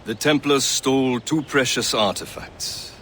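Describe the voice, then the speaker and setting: A man playing a grave, commanding Assassin mentor in a video game, speaking in a solemn, measured and formal way. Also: medium pitch, a bit bright, slight background noise, close to an everyday voice. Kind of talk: video game dialogue acting.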